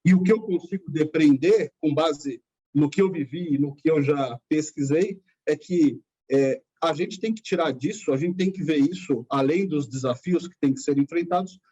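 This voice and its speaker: A man's voice: a little high, normal speed, mellow, husky. A man in his forties speaking thoughtfully on a panel, often tagging sentences with "né". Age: 40s. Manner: thoughtful, with frequent "né" tags. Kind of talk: webinar panel discussion. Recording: muffled lo-fi audio.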